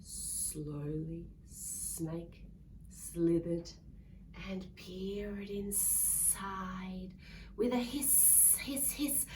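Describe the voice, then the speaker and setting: A woman reading a picture book aloud to young children in a playful, expressive way, drawing out words and acting out sounds. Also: fairly low pitch, very slow. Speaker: children's story reader. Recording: distant mic with faint background noise.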